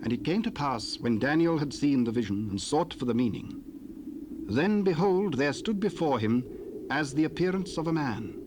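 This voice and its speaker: A man narrating scripture in a steady, solemn manner on a 1970s dramatized recording. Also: on the high side, normal speed. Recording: hissy cassette tape.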